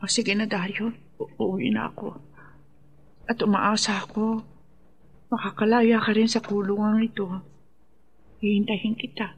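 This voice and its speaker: A woman's voice: mid-range voice, little pitch movement, measured pace, long pauses, breathy edge, muffled recording. An actress playing an earnest woman who gives counsel in a Filipino radio drama. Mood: reassuring. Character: earnest woman advising a remorseful man.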